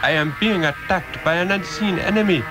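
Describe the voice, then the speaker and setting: An actor playing an ancient, wise master sorcerer in a 1960s radio drama, speaking in formal, measured, incantatory phrases. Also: male voice, high-pitched, close to an everyday voice. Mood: commanding. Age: older. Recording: old radio drama, music behind.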